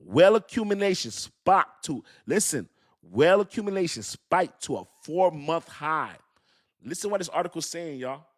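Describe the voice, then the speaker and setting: A man's voice: on the high side, expressive, moderate pace. Hyped slangy man hosting a crypto stream. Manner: hyped, repetitive, slangy, talks straight to chat. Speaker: crypto livestream host. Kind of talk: excited casual livestream talk to chat.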